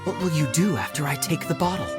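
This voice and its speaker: Low-voiced, determined bandit. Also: female voice, animated, fast talker, loud music behind the voice. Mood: resolute. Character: determined, calm desert bandit in a fairy tale.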